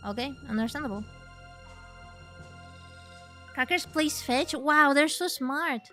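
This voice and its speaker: A woman from Chile chatting casually and excitedly while streaming a game, with the odd swear. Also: high-pitched, slow, soft background music. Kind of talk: casual gaming livestream chat.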